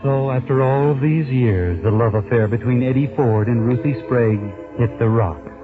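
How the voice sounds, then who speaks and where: Actor as wry, ominous male storyteller, mid-pitched, 1940s radio mystery, music under muffled sound. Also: moderate pace, lightly acted. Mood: sardonic, ominous. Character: sardonic, knowing male mystery narrator addressing the characters. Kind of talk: dramatic 1940s radio narration.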